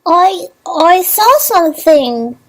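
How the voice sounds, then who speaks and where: A woman's voice: very high voice, sing-song, takes it slowly, exaggerated voice. A woman voicing a timid young female cartoon pony in a fan audio drama, hesitant and meek. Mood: embarrassed, meek.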